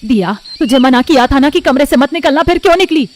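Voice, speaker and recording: Woman as a worried mother, fairly high voice. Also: animated, quick pace. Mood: scolding. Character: worried middle-aged mother of two daughters. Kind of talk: Hindi cartoon story voice acting.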